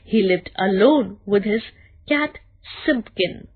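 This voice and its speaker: A woman narrating a scripted children's bedtime story in a deliberate, expressive way. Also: mid-range voice, husky, narrow, tinny audio.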